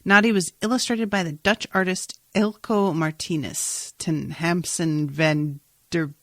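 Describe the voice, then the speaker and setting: A woman's voice: on the low side, steady tone, measured pace, no room sound. Casually sweary woman podcaster, close dry sound. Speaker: podcast co-host. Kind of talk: casual TV recap chat.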